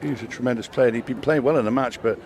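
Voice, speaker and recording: A man giving brisk play-by-play commentary on a pool match, with dry asides. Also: mid-range voice, a little mellow. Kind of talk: live sports commentary.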